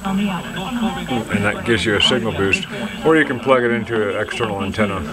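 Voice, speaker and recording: A man giving a casual, halting product demonstration from behind a hand-held camera indoors, as if selling something. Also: medium pitch, very animated, over a noisy crowd. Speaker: seller demonstrating a restored vintage radio signal tracer. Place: dim indoor room, speaking from behind the camera.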